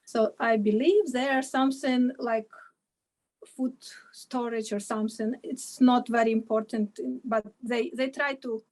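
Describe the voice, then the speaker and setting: Female guest, Ukrainian accent, slightly high voice, choppy non-native phrasing, informal interview. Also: fairly even intonation, unhurried, a little mellow. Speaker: interview guest, aid volunteer. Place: indoor room on a video call.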